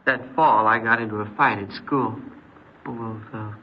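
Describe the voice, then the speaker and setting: Old radio drama, dull noisy sound, man acting neglected fourteen-year-old boy, hesitant, halting, troubled. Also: lowish voice, fairly even intonation, natural voice. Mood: wistful, confiding.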